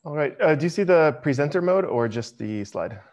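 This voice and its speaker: A middle-aged American man giving a casual webinar presentation over a video call, relaxed and full of ums. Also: fairly high pitch, fairly quick. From United States. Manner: relaxed, many ums, friendly. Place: home office, video call. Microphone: headset.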